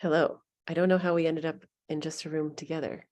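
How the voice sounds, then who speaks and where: Woman running an online meeting, lowish voice, chatty and upbeat, video call. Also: level tone, a little fast, a little mellow. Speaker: meeting host. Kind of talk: hosting an online public meeting.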